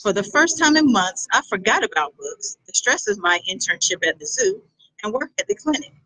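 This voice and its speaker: Animated woman reading her novel. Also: medium pitch, normal speed, breathy edge, no room sound, poor audio. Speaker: author.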